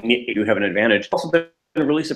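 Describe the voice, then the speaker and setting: A man's voice: medium pitch, expressive, fast talker, a bit bright. A man co-hosting a casual podcast chat, rambling with many restarts and fillers. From United States. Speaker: podcast co-host.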